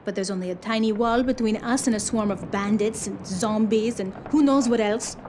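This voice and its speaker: A woman's voice: mid-range voice, moderate pace, darkish tone, slight background noise, lightly acted. An actress playing a guarded, sardonic young village woman in a video game, dry and dismissive. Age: young adult. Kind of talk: video game cutscene acting.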